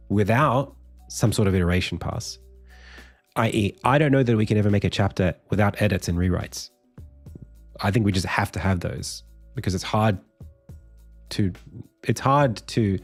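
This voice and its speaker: Man, low croaky voice, tired, casual streamer, many fillers and restarts, faint music behind. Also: darkish tone. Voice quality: deep, croaky voice. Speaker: indie game developer streaming. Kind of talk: casual live stream talk.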